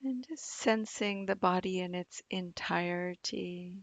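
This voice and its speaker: A woman meditation teacher leading a guided practice in a gentle, soothing manner, leaving long pauses between phrases. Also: fairly low pitch, vocal fry, dry recording. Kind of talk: guided body scan meditation.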